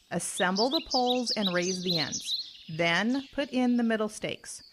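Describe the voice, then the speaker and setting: An actress as a practical, brisk scout leader giving instructions. Her voice is mid-pitched in a radio play, under loud outdoor noise and birdsong. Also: natural voice. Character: brisk, practical woman leading Girl Scouts. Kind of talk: audio drama acting. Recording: noisy outdoor sound with birdsong.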